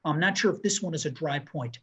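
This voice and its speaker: A man in his thirties co-hosting a museum talk over a video call, walking viewers through artworks in a measured, descriptive way. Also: fairly high pitch, a bit bright, breathy edge, muffled recording. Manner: measured, descriptive, pointing out details. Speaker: museum co-host presenting artworks. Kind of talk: art history talk over slides. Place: room with bookshelves.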